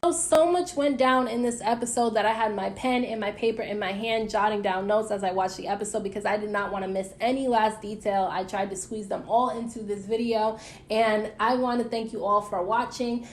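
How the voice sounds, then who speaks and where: A woman's voice: mid-range voice, steady tone, brisk, a little hiss or hum. Chatty young American woman recapping TV. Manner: chatty and opinionated, with slangy mild swearing. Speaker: YouTube reviewer recapping reality TV. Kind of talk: casual, gossipy recap commentary.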